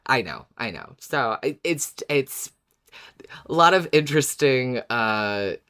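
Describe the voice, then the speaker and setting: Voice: mid-range voice, sing-song, takes it slowly, fairly bright tone. A podcast co-host in casual discussion, agreeable and thoughtful while working through a point.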